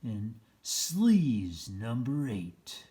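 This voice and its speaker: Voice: mid-range voice, sing-song, very slow, dark tone. A storyteller reading a children's picture book aloud in a theatrical, playful way.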